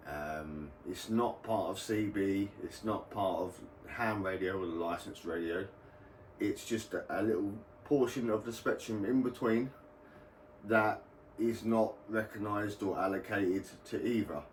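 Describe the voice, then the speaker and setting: A British man giving a casual, rambling explainer as the host of a hobby video channel. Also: lowish voice. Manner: informal, rambling. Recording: roomy, distant mic, faint background noise.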